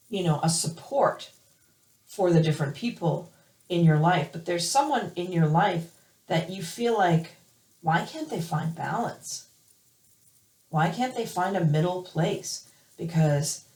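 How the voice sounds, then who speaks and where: A woman hoarse from allergies giving a weekly tarot reading, hesitant with many fillers and restarts. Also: low voice, darkish tone, distant mic. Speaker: tarot reader. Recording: roomy, from off the mic.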